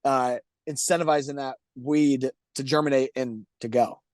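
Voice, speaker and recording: A man's voice: medium pitch, fairly even intonation, normal speed, darkish tone, dry recording, studio quality. Enthusiastic man hosting a webinar. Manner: enthusiastic, restarts and fillers. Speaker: webinar host. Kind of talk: casual webinar discussion.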